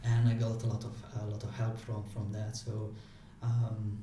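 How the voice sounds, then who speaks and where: A man with a mellow, flat voice and a non-native way of speaking, pausing and restarting often as he answers interview questions. He is heard from some distance in a very echoey room, with a faint hiss underneath. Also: on the low side. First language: not English. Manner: halting, many restarts and fillers. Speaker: guest.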